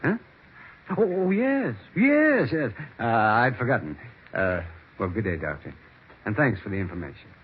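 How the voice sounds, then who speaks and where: An actor playing a polite, probing amateur investigator in a 1940s radio mystery, courteous and slightly hesitant. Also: male voice, mid-range voice, big pitch swings, normal speed, natural voice. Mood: flustered, courteous. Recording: muffled, faintly noisy 1940s radio recording.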